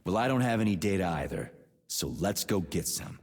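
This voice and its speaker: An actor playing an irritable, foul-mouthed army major in a video game, brusque, sarcastic and blunt. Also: male voice, sits low, sing-song, a little fast, a little mellow, in a large hall, a little hiss or hum. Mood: wary. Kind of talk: scripted video game dialogue.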